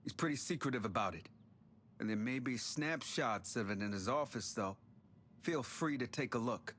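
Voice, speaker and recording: An actor playing an irreverent local helper in a video game, casual and blunt. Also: male voice, medium pitch, expressive, normal speed, fairly bright tone, a little hiss or hum, natural voice. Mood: offhand. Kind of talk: video game cutscene acting.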